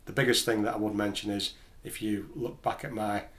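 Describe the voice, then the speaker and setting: A man's voice: fairly low pitch, fairly even intonation, a little fast, fairly bright tone. A man casually explaining gear to camera as host, in a plain-spoken, careful way. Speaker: host of a home studio gear video channel.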